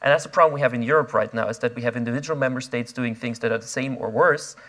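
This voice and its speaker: A young man, speaking onstage at a conference, quick and restless with many fillers and restarts, recorded on a lapel mic. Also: medium pitch, fairly bright tone. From Austria. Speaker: invited speaker. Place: conference stage before an audience.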